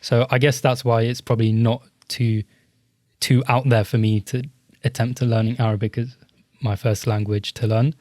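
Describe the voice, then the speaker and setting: A young man thinks aloud, hedging and restarting as he reflects in a relaxed podcast chat. His voice sits at an ordinary middle pitch. The recording is dry and close. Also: moderate pace, dry recording. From Britain. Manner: hesitant, reflective, hedges with "I guess". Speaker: podcast co-host. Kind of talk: casual podcast discussion.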